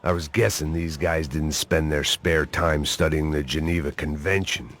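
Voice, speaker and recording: An actor with an American accent playing a weary, cynical middle-aged ex-cop in a game, narrating in a deadpan, sardonic noir voice-over. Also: male voice, very deep voice, normal speed, noisy background. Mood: grim, sardonic.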